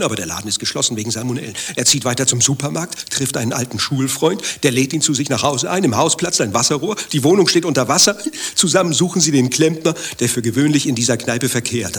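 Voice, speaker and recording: A man's voice: mid-range voice, husky. An actor playing an anxious, grumbling married man with a secret lover, telling his troubles in fretful clipped phrases in a satirical radio play. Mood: exasperated. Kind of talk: dialogue-driven satirical radio play acting.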